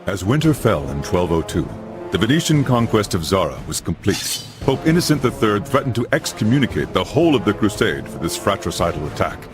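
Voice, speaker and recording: A man's voice: on the low side, big pitch swings, a little fast, music in the background. An actor playing a grave older crusader father who narrates medieval history formally in a video game. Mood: solemn. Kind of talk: scripted dramatic narration.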